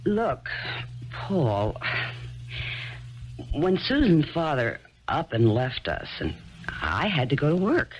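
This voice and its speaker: A woman's voice: low voice, very animated, takes it slowly, long pauses, heavily acted. An actress in a 1970s radio drama playing a weary, practical single mother who answers in an offhand, guarded way. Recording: dull lo-fi archival radio broadcast, faint hiss.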